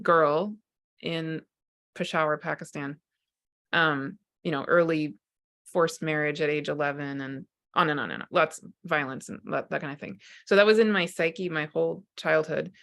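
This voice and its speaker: A woman's voice: low voice, fairly bright tone. A middle-aged woman hosting an interview, openly sharing her personal stories. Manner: open, sharing personal stories with light laughs. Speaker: interview host. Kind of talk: casual personal conversation.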